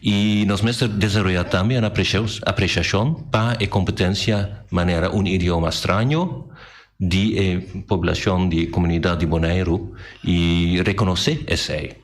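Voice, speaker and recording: A man whose first language is Dutch, talking as a guest and language teacher in a radio discussion, halting and repeating words as he searches for them. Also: deep voice, steady tone. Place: radio studio.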